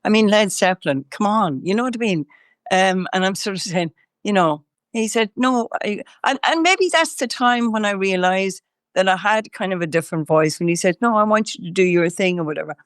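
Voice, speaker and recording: A woman's voice: mid-range voice, fairly quick, dry recording, studio quality. An older woman from Ireland, a singer interviewed as a guest, talking in a rambling way with many fillers and restarts while chewing gum. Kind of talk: casual interview chat.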